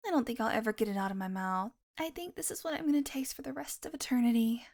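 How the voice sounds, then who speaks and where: High-voiced sardonic vampire woman, played by an actress. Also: quick pace, a little mellow. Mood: disgusted. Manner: sarcastic, teasing, exasperated sighs. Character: sardonic, haughty female vampire on the run. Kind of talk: audio roleplay acting, spoken to the listener.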